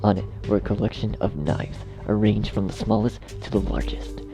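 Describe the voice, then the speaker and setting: A man narrating a dark fan fiction story as a scripted reading. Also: lowish voice, normal speed, music in the background.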